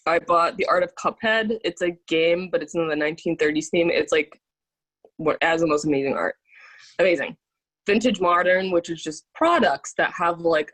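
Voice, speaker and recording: Low-voiced woman, casual and emphatic. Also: rough recording. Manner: informal, emphatic, frequent "like". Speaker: visual artist. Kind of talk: casual chat about art and work.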